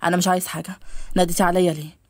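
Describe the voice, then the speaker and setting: A woman's voice: lowish voice, flat delivery, racing, no echo, studio quality, pitched below the performer's own voice, natural voice. A woman voicing a teasing, apologetic young male suitor in story dialogue, with an Egyptian accent.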